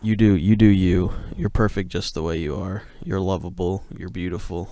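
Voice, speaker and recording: Tired male podcast co-host, low and smooth, laid-back rambler, dry close mic. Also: fairly even intonation, normal speed, dark tone, no echo. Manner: laid-back, rambling, heavy swearing and filler "like". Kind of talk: casual podcast chat.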